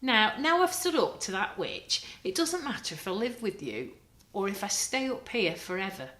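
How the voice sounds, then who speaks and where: A woman's voice: mid-range voice, big pitch swings, normal speed, a bit bright, pitched above the performer's own voice. A woman voicing a kind, earnest male cardboard spider toy in a storybook reading. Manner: earnest, pleading. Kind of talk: storybook read-aloud. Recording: roomy echo.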